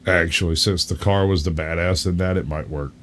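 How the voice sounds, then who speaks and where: A man's voice: low voice, fairly even intonation, a little fast, a bit bright. A man co-hosting a podcast, chatting casually in a blunt, slangy, joking way. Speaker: podcast co-host reacting to clips. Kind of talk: casual chat.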